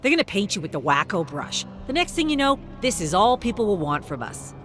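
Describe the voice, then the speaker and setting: A woman's voice: mid-range voice, sing-song, brisk, a bit bright, faint music behind, voice pushed up. A woman voicing a stern, dismissive male television boss in a dramatic story reading. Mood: warning. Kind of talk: dramatic audiobook character voice.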